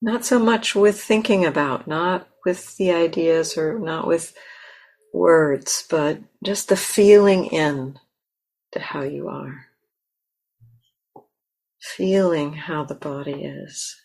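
A woman meditation teacher leading a guided meditation in a gentle, unhurried manner, often pausing mid-phrase. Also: sits low, vocal fry, slightly rough, poor audio. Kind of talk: guided meditation, slow with long pauses. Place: meditation center.

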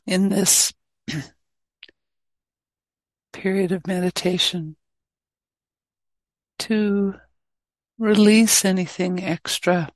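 A woman teacher leading a guided meditation, speaking in short, gently inviting phrases with pauses between them. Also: medium pitch, fairly even intonation, no echo, low-quality recording.

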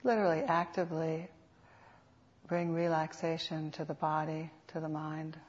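A woman teaching guided meditation in an unhurried, soothing manner with reflective pauses, on a dull lo-fi recording. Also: low-pitched, steady tone, a little mellow. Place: meditation center. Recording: dull, lo-fi live talk recording.

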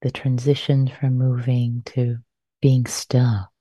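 A woman meditation teacher leading a guided meditation in a soft, breathy voice, gently and unhurriedly, with long pauses, on a dull lo-fi recording. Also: very deep voice, level tone, no room sound.

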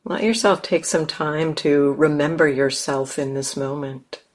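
A woman teacher leading a guided meditation in a gentle, unhurried manner, leaving long pauses between phrases. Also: deep voice, fairly even intonation.

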